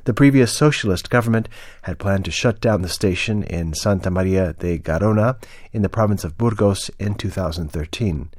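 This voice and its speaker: A male newsreader delivers scripted radio news in a lowish voice. The sound is dry, with almost no room around the words. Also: moderate pace, darkish tone, dry recording.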